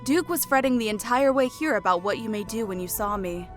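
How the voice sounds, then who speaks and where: A woman playing a cheerful, eager, excitable Fae woman in an audiobook. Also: fairly high pitch, a little fast, faint music behind, natural voice. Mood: polite, earnest. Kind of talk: audiobook character acting.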